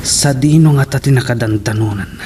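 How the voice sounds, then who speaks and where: A male actor as a resolute henchman in an Ilocano radio drama, mid-pitched and deferential. He speaks with an Ilocano accent and a sense of purpose, over clear hissy noise. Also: brisk, lightly acted. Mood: brooding, determined. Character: loyal, resolute male henchman serving a young master.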